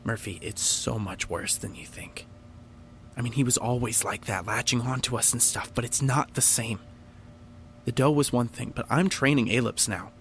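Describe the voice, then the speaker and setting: Audio drama role: actor as troubled young man, uneasy and guilt-laden, hesitant, mid-range, slight background rumble. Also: moderate pace, fairly bright tone, natural voice. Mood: distraught, guilty.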